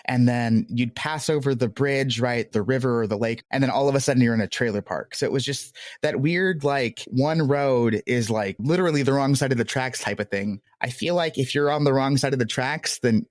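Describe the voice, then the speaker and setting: A man's voice: medium pitch, no echo. Male interview guest, conversational and rambling, modest about himself, recorded dry. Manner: rambling, self-deprecating. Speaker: podcast creator being interviewed. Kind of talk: casual conversational interview answers.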